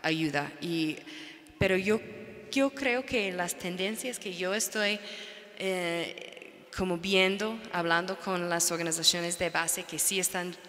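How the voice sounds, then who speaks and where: Slow, halting woman, native English speaker. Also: on the low side, fairly bright tone. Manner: halting, searching for words. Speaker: panelist, NGO researcher. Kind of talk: academic panel talk. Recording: reverberant lecture hall.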